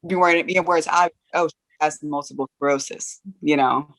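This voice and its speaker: A woman talks casually and circles around her point, padding it with small fillers. Her voice sits fairly low and sounds dry and close. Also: fairly even intonation, a little fast, fairly bright tone, dry recording, rough recording. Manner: rambling, fills with "like" and "you know". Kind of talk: casual group conversation.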